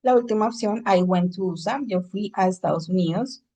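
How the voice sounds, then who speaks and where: A woman's voice: medium pitch, normal speed, warm tone. Woman teaching an English class. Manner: explains in Spanish, switches to English for examples. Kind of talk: online class lecture.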